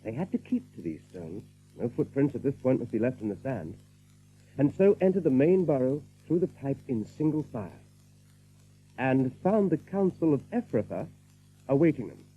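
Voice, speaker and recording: Lively male narrator, thin narrow-band sound. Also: mid-range voice, moderate pace, breathy edge, faint music behind. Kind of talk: dramatic audiobook narration. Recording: narrow-band recording with faint hum.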